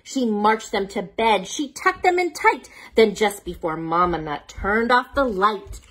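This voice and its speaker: Woman reading bedtime stories, very animated delivery. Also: mid-range voice, measured pace, low-quality recording. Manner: playful, dramatic emphasis, rhetorical questions to the listener. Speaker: children's storyteller reading picture books aloud. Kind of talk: expressive bedtime read-aloud with asides to young children.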